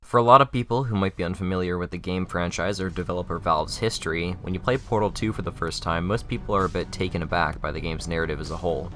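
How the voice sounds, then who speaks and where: A man's voice: deep voice, quick pace, few pauses, a bit bright. A young man reading scripted narration as the presenter of a video essay. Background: faint music.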